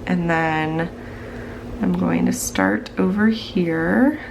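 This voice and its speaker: A woman's voice: fairly low pitch, very slow, vocal fry, noisy background. A woman with a stuffed-up, congested voice, chatting casually as she plans on her tablet and thinks aloud while she works. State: congested from the flu. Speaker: planning channel host.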